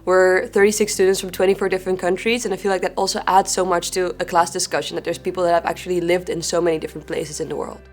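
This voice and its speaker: A woman's voice: lowish voice, level tone, fast, few pauses, soft background music. Thoughtful young woman from the Netherlands. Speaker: graduate student. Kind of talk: interview testimonial.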